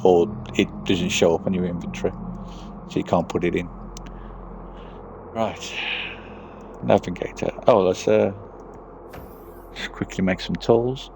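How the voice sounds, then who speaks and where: A man's voice: low-pitched, steady tone, a little slow, creaky, pauses often, background noise. A man giving casual playthrough commentary as a gaming streamer, muttering to himself as he thinks aloud. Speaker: gaming streamer reading all game text aloud.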